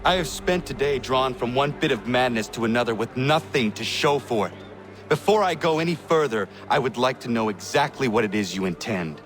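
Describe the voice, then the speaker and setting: An actor playing an earnest, stern young man in a video game, reserved and speaking in formal, measured phrases. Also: medium pitch, expressive, fairly bright tone, faint music behind, lightly acted. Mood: stern impatience. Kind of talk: video game character dialogue.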